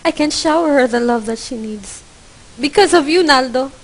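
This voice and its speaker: A woman's voice: high voice, moderate pace, poor audio. A woman playing a furious accusing wife. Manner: accusing, shouting in an argument. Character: bitter, furious middle-aged wife and mother. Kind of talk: amateur student radio drama acting.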